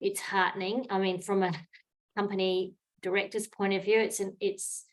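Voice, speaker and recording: An Australian woman hosts an online Q&A in a mid-pitched voice, thinking aloud and filling her pauses with "um" and "you know." Her questions come out slowly and conversationally over online call audio. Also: level tone. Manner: reflective, thinking aloud with many fillers. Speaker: host, moderator. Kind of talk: online webinar Q&A.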